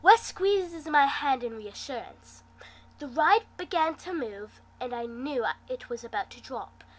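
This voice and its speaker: A woman reading fan fiction aloud in an expressive, dramatic manner, acting out the dialogue as she goes. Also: sits high, measured pace, poor audio. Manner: animated, dramatic delivery. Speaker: amateur fan fiction reader, host.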